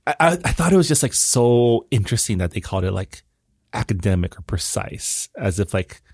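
Relaxed low-voiced man from Hawaii. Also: fairly quick, slightly rough, no room sound, studio quality. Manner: relaxed, filler-heavy, laughs along. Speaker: podcast co-host. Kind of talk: casual book discussion.

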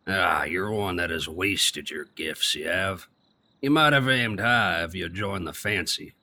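A man playing a gruff, stubborn ex-prizefighter gatekeeper in an audio drama, with rough working-class speech and grammar. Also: low-pitched, unhurried, bright, forceful tone, full character voice. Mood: impressed, hearty.

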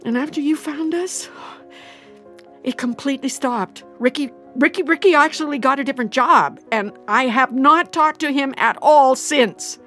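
An actress playing an anxious, regretful middle-aged mother in an audio drama, halting and justifying herself as she confesses. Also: sits high, a bit bright, faint music behind. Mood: distressed, pleading. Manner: halting, self-justifying, repeats and trails off. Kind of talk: naturalistic audio drama acting.

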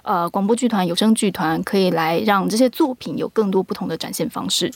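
A woman's voice: mid-range voice, a little fast, dry recording. A woman with a Taiwanese Mandarin accent, speaking casually as a producer being interviewed. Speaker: guest, audio drama producer.

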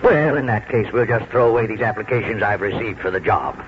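An actor playing a kindly, dignified older college president in 1940s radio drama, speaking in a measured, oratorical way. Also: male voice, on the low side, slight background noise, close to an everyday voice. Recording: dull vintage radio broadcast, loud music.

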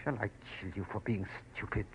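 An actor playing a bitter, menacing, disfigured villain bent on revenge, hissing and theatrical in formal phrases, in an old-time radio crime drama. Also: male voice, on the low side, a little fast, husky, muffled recording. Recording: noisy vintage radio drama recording.

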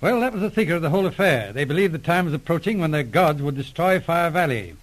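An actor playing a brisk, commanding Mountie sergeant with a husky voice in a 1940s radio adventure. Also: male voice, fairly high pitch, lively intonation. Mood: reflective storytelling. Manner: decisive, exclamatory. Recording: old 1940s radio recording.

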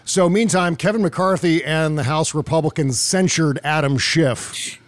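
A man's voice: fairly high pitch, steady tone, unhurried, no echo. A man hosting a podcast, talking casually and emphatically about politics, opinionated and given to swearing. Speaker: podcast host.

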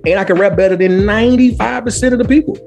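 A man's voice: sits high, fast talker, vocal fry, darkish tone. A man, telling stories casually as a podcast guest, emphatic and repeating phrases for effect. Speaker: podcast guest, former rapper. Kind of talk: casual storytelling. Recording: music playing behind the voice. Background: music.

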